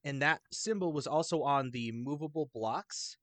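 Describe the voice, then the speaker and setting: A man's voice: on the high side, moderate pace, a bit bright, no echo. A man chatting as a podcast guest, explaining things in a loose, hesitant way that often trails off. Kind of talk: casual podcast chat.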